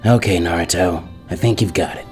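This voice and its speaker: A man's voice: sits low, a little fast, faint music behind, pitched below the performer's own voice, close to an everyday voice. A man voicing a lazy, laid-back but shrewd teenage ninja boy in a fan fiction reading.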